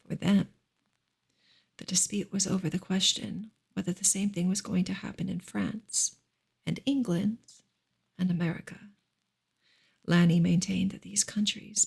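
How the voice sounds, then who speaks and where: A woman gives a soft ASMR reading of a book, breathy and often close to a whisper, in a gentle, measured way. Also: medium pitch. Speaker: streamer reading a novel aloud.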